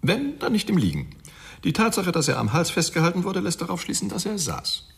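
A sardonic pathologist in a radio crime play, his fairly high voice leaping widely up and down. It is creaky and rough, and he delivers things with theatrical, unfazed wryness. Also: very animated, a little fast, exaggerated voice. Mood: matter-of-fact. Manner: wry, theatrical, matter-of-fact. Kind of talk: German radio crime drama acting.

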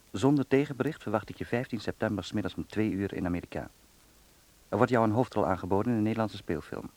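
Pushy low-voiced wartime film producer. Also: male voice. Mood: businesslike. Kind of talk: Dutch radio drama acting.

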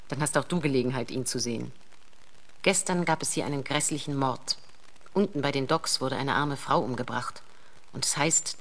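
Caring wistful mom reading her letter out loud, low soft-mannered voice, audio drama. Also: heavily acted. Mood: fond, chatty. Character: caring, wistful mother writing to her estranged husband.

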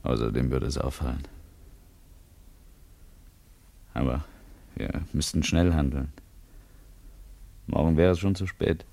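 An actor in a German radio play playing a nervous, evasive husband with a guilty secret, in a husky voice. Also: male voice, very deep voice, normal speed, mellow, full character voice. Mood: grim, conspiratorial.

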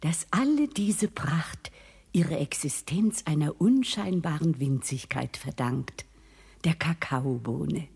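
A devout, kindly old woman in a radio drama. Her voice is very husky and muted in brightness, teaching solemnly in a reverberant space. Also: deep voice, lively intonation, exaggerated voice. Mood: gentle, solemn. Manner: slow, solemn, instructive. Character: kindly, pious old aunt running a chocolate shop. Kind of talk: German radio play acting. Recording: reverberant hall-like sound.

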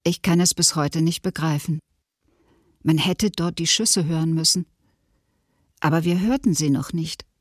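A woman's voice: low-pitched, unhurried, long pauses, no room sound. Reflective female witness in a very dry recording. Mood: bewildered, sober. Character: female survivor giving bewildered, reflective testimony. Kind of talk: documentary radio drama testimony.

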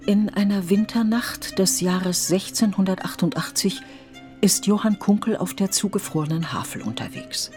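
A woman reading literary narration for a radio play in a measured, deliberate way. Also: medium pitch, darkish tone. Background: music with violin.

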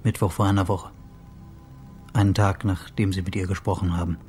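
An actor playing a sober, bereaved husband in a radio play, speaking in a subdued, matter-of-fact and slightly halting way. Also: male voice, low voice, level tone, a little mellow, faint music behind, close to an everyday voice. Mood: grieving, subdued. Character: bereaved husband, a sober man reporting his wife's death.

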